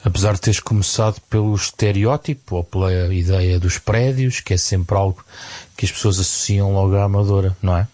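A man's voice: deep voice, fairly even intonation, a little fast, dark tone, no echo. A man who hosts the interview, putting short questions and prompts to his guests.

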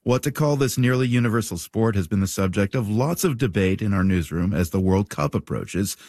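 Low-voiced male radio co-host. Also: expressive, brisk, a little mellow, no room sound, studio quality. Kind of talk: scripted news presenting.

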